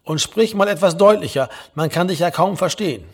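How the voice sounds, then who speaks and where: Man putting on a nagging, higher-pitched mother. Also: expressive, brisk, slightly rough. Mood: irritated, stern. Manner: scolding, insistent, triumphant. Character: nagging, self-righteous early-rising mother of a grown son. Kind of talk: audiobook character voice.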